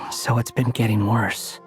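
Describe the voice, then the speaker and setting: An actor voicing an aloof, sardonic young male wandering scholar in a video game, dry, curt and haughty. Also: medium pitch.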